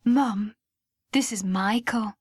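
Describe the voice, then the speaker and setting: A woman's voice: mid-range voice, slow pace, husky, no echo. A woman voicing an eager, lovestruck young woman who talks hopefully and clings.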